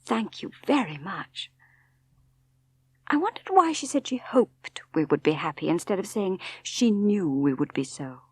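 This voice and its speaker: A woman's voice: medium pitch, sing-song, normal speed, few pauses, a little mellow, slightly rough. A woman with an English accent reading a novel aloud as dramatic literary narration. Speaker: audiobook narrator.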